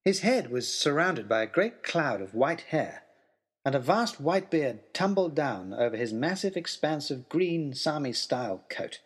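A man narrating an old-fashioned first-person adventure story in a theatrical way, with deliberate dramatic pauses. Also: on the high side, big pitch swings, slightly rough. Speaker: narrator of a serial audio story.

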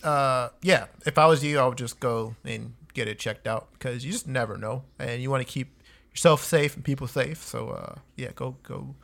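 A man's voice: medium pitch, normal speed, vocal fry, a bit bright. A man co-hosting a casual podcast chat, laid-back and advising his friend, with a lot of "man" and "dude".

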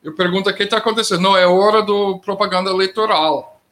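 A middle-aged man speaking Portuguese with a non-native accent, commenting as a guest in a political discussion, plain-spoken with halting grammar. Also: sits high, steady tone, a bit bright. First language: not Portuguese.

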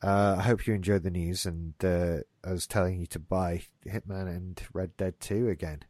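A man's voice: sits low, level tone, normal speed, a little mellow, no echo. A man hosting a casual gaming news podcast, rambling and swearing lightly, a bit stuffed up. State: getting a stuffy nose. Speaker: podcast host. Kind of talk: casual chat.

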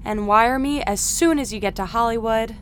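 Woman acting a high-voiced, fretful mother. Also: normal speed, slight background noise, close to an everyday voice. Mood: worried, pleading. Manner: fretful, pleading. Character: anxious, doting mother seeing her grown son off. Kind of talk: radio drama acting.

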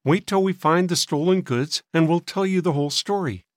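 Man voicing an earnest, polite teenage boy, pitched up. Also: normal speed, no echo, clean studio sound, natural voice. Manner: polite, respectful, confident. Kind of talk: audiobook character voice.

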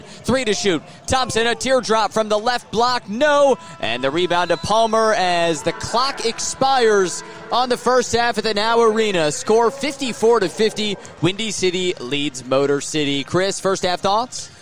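An American man doing live radio play-by-play of a basketball game, punchy and rising excitedly with the action. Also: sits high. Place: live inside a sports arena.

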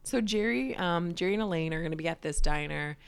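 A woman's voice: fairly low pitch, fast, creaky, smooth. A young woman co-hosting a podcast, chatting casually about a sitcom episode, swearing and saying "like" a lot. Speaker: podcast co-host.

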